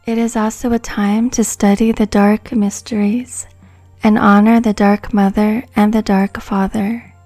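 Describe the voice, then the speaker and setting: A woman giving a soft-spoken, hushed and soothing ASMR narration from a script. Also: mid-range voice, flat delivery, soft background music. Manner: hushed, slow, soothing delivery.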